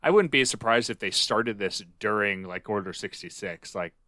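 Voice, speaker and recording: A man's voice: on the low side, moderate pace, pushed and bright, no echo. A man co-hosting a fan podcast in casual conversation. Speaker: podcast co-host.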